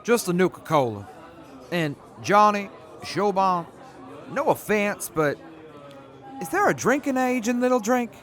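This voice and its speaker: A man's voice: sits high, very animated, unhurried, pauses often, a bit bright, faint music behind, some chatter behind, exaggerated voice. A man playing an earnest, polite ex-army major. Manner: earnest, polite, flustered when cornered. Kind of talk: audio drama acting.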